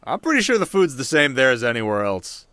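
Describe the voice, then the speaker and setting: A man's voice: medium pitch, big pitch swings, brisk, fairly bright tone, clear, resonant tone, no echo. A man voicing a cheeky, laid-back warrior in an audio drama, flippant and teasing with casual slang. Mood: playful. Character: cheeky, laid-back young warrior, loyal and food-loving. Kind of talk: ASMR roleplay audio drama acting.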